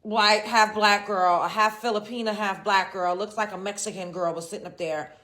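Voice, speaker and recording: A woman's voice: mid-range voice, steady tone, moderate pace, pushed and bright. A woman host giving casual, opinionated reaction commentary in an emphatic, sassy manner, leaning hard on stressed words.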